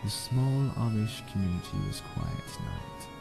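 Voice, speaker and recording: A man reading scripted narration for a melodramatic audio drama in a deliberate, dramatic storytelling manner. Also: fairly low pitch, wildly swooping pitch, vocal fry, loud music behind the voice.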